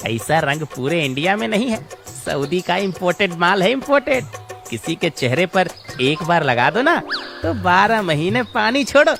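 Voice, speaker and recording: A man voicing a sly, gleeful small-time village swindler in a cartoon, wheedling and drawn-out. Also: sits high, very animated, creaky, a bit bright, full character voice. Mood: boastful, wheedling. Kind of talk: cartoon voice acting. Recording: background music under the voice.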